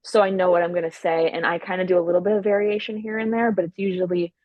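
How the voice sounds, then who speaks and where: A woman's voice: on the low side, level tone, fast talker, no echo, dull audio. A woman comedian guesting on a podcast, talking casually with a stutter, repeating sounds and restarting words, and swearing freely. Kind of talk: casual chat.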